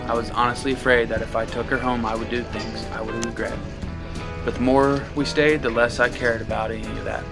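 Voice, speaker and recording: A man's voice: medium pitch, little pitch movement, fairly quick. Man narrating a story over guitar music. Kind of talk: first-person dramatic story reading.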